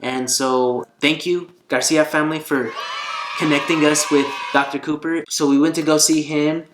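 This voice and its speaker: A man's voice: a little high, steady tone, normal speed, noisy background. A man vlogging in a casual, conversational and earnest way, telling a personal story. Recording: roomy echo, faint guitar music behind.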